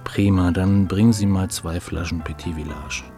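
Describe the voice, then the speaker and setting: A low-voiced, suave man of means in a radio play. He is sociable and generous, used to giving orders in an easy way. Music plays clearly behind him. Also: steady tone, normal speed, mellow. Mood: suave, commanding. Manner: suave, casually commanding. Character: smooth, commanding wealthy male hotel-bar regular, generous and sociable. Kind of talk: German radio drama acting.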